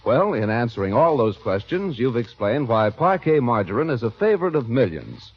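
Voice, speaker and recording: A man working as a radio announcer, giving a scripted sponsor spiel and show narration with smooth, polished salesman delivery. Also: mid-range voice, sing-song, normal speed. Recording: dull 1940s radio broadcast.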